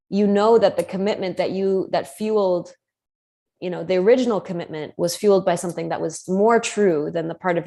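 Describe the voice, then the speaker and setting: A woman's voice: on the low side, a little mellow. A woman speaking earnestly on a live video call, thinking aloud about commitment as a coach, with frequent restarts and fillers. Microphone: video call mic.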